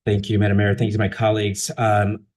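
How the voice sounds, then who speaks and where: A man's voice: on the low side, completely flat delivery, fast, a little mellow. A man, a city council member, giving earnest remarks at a council meeting, with many fillers and restarts.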